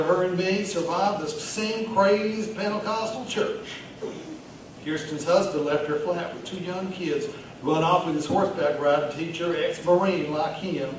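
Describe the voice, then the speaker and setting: Man acting out a boastful small-town shop manager, folksy, jovial, confiding, story monologue, higher male voice, heavy room echo, background noise. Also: distant mic, close to an everyday voice. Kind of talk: dramatic reading of short story monologue. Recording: very echoey room from afar, clear noise.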